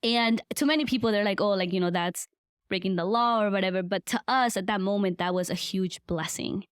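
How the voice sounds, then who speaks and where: A woman's voice: medium pitch, a little fast, clear, resonant tone, no echo, clean studio sound. A woman in her twenties from Guatemala, a podcast guest telling her life story in an earnest, reflective way, with frequent fillers. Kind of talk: conversational life-story interview.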